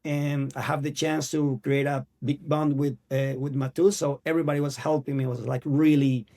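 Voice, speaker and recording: A man in his thirties from Venezuela with a Venezuelan Spanish accent, Spanish as his first language, telling his story as an actor guest in an earnest, halting way. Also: a little high, flat delivery, dark tone. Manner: earnest, halting non-native English. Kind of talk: casual interview.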